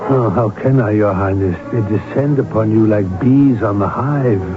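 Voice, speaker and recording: An actor playing an earnest, urbane court doctor in a radio drama, speaking formally and with courtly polish. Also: male voice, fairly low pitch, moderate pace, lightly acted. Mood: urbane, coaxing. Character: earnest, urbane royal physician and loyal confidant. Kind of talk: 1970s radio drama acting. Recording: tinny narrow old radio broadcast, music behind.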